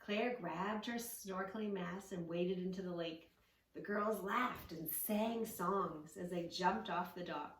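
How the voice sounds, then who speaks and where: A woman reading a story aloud to children and teaching yoga poses with gentle, encouraging praise. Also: fairly low pitch, measured pace. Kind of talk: read-along story with yoga instructions for children. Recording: roomy, echoing, distant microphone.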